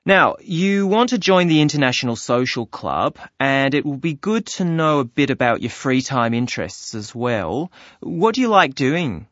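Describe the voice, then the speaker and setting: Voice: mid-range voice, very animated, normal speed, few pauses, fairly bright tone, no room sound. A chatty, friendly club clerk for an exam listening dialogue. The recording sounds dry and a little dull, with no room around the voice. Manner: friendly, chatty, with ums and small laughs. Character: friendly office clerk taking a new member's details. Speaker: club receptionist. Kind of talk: scripted listening-test dialogue.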